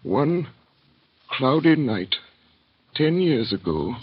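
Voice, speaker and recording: An actor playing a despairing imprisoned physician in a 1930s radio drama, speaking in a grave, measured, melancholy way. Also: male voice, mid-range voice, very animated, husky, exaggerated voice. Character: despairing imprisoned French physician narrating his grim past. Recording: dull lo-fi vintage radio recording.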